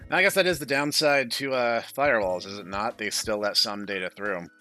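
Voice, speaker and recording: A man's voice: fairly low pitch, brisk, bright, forceful tone. A man hosting a let's-play, chatting casually and going off on opinionated, digressive asides over the game. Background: music.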